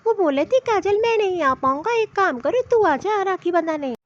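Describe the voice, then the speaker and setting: A woman's voice: very high-pitched, expressive, normal speed. A woman voicing a scheming, grumbling young daughter-in-law in a comedy cartoon, recorded with somewhat dull sound. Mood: coaxing, evasive. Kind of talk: Hindi comedy cartoon story dialogue.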